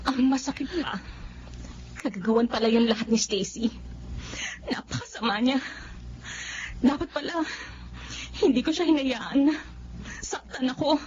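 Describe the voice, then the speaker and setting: Radio soap role: wronged young Filipina wife, high tremulous voice, hurt but resolute, slightly noisy lo-fi sound. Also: female voice, measured pace, long pauses, darkish tone. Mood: bitter. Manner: aggrieved, tremulous, emotional. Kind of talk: Filipino radio drama acting.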